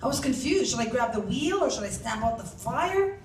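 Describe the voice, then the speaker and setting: Female author reading own novel, higher-pitched, animated storyteller, recorded at a distance in an echoey room. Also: brisk. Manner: animated, acts out shouted dialogue. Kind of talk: dramatic first-person story reading. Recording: roomy, distant mic, faint background noise.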